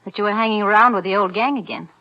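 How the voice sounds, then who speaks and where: A woman's voice: mid-range voice, rapid-fire, close to an everyday voice. An actress playing an anxious, pleading young girlfriend, earnest and emotional, in 1940s radio thriller style. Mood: worried. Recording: dull vintage radio recording.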